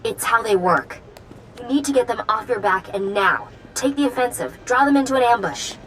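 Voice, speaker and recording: An actress playing an earnest, resourceful informant in a video game, speaking in short, clipped sentences. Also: female voice, fairly high pitch, lively intonation, moderate pace, a bit bright, a little hiss or hum, low-quality recording. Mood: urgent warning.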